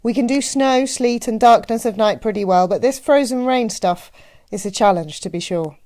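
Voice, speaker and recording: Matronly small-town postmistress , played by a female narrator: Midwestern, teasing, folksy, pitched up for an audiobook. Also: moderate pace, dark tone, no echo, clean studio sound, natural voice. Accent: Midwestern American. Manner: joking, chatty, folksy. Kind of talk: audiobook character voice.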